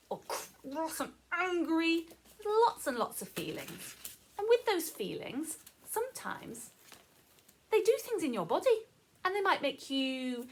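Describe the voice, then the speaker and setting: A woman reading a story aloud to children as a teacher, explaining as she goes in an expressive, sing-song manner with questions to the listeners. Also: high-pitched.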